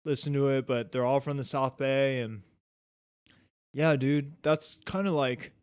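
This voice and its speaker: Laid-back podcast host saying "dude" constantly. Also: male voice, medium pitch, unhurried, smooth, no echo, studio quality. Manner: laid-back, rambling, says "dude" and "like" a lot. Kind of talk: casual chat.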